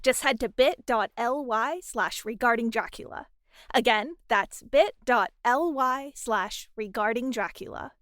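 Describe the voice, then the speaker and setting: High-voiced woman making an announcement. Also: unhurried, a bit bright, dry recording, clean studio sound. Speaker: podcast showrunner. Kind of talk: scripted announcement.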